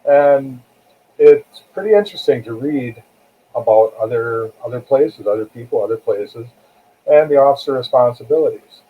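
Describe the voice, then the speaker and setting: A man's voice: mid-range voice, normal speed, husky. Phone-like audio, older American man. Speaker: guest author, retired corrections captain. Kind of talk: conversational interview answers. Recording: tinny, phone-like narrow-band call audio.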